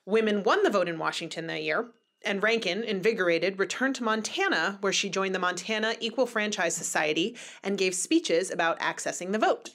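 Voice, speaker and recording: A woman co-hosting a casual podcast, telling a history story in a relaxed, chatty way with asides and fillers. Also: medium pitch, fairly quick.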